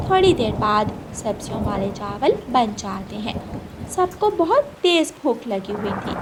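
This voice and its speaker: Casting: female storyteller for kids' cartoon, high voice, scripted narration over noisy ambience.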